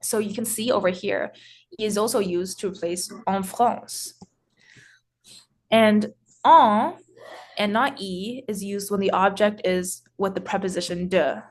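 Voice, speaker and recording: A woman teaching French grammar in English, explaining slowly with many pauses, restarts and filler words. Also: mid-range voice, steady tone. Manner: hesitant, frequent restarts and "so". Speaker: French tutor.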